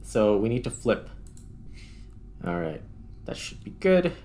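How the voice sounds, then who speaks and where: A man's voice: fairly low pitch, big pitch swings, slow, a little mellow. A man giving casual gameplay commentary, thinking aloud as he plays. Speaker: gaming video host.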